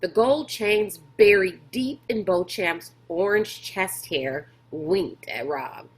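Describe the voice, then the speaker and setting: Female teacher-narrator, mid-pitched, slow and expressive storybook read-aloud. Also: low-quality recording. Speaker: teacher reading aloud to pupils.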